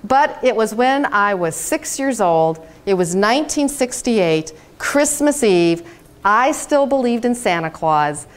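Animated woman in her fifties telling stories on stage. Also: on the high side, slow, smooth. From United States. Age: 50s. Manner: animated, dramatic, gesturing on stage. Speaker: keynote speaker. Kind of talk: storytelling keynote speech. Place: hotel conference room, indoors.

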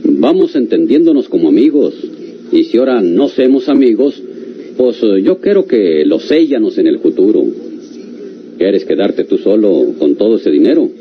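An actor playing a greedy, wheedling, falsely friendly rancher with a rural northern Mexican accent in an old radio drama. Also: male voice, lowish voice, big pitch swings. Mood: coaxing. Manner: coaxing, drawn-out folksy talk with interjections. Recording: dull, noisy old radio recording.

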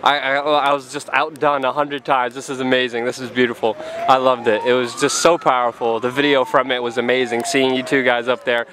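A man's voice: mid-range voice, level tone, fairly quick, hardly any pauses, background noise. A man doing a casual, enthusiastic vlog interview outdoors on a handheld camera. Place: open park outdoors.

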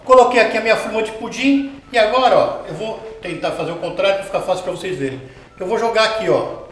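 Chatty high-voiced man cooking at home. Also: quick pace. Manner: chatty, explains patiently, often checks with the viewer. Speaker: home cooking show host. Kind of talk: step-by-step cooking demonstration. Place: home kitchen, indoors. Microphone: camera mounted overhead. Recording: echoey kitchen with light background noise.

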